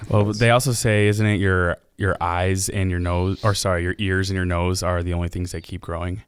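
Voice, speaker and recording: A man on a podcast dropping dry, deadpan one-liners in casual chat. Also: low-pitched, moderate pace, clear, resonant tone, no room sound. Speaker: podcast guest.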